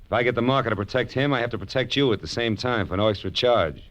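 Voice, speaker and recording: A man's voice: mid-range voice, quick pace, a bit bright, natural voice. An American actor playing a tough, wry male private detective in 1940s radio noir style, clipped and wisecracking. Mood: pressing, wry. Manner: hard-boiled, clipped, wisecracking. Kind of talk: 1940s radio noir acting and first-person narration. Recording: muffled old radio drama recording.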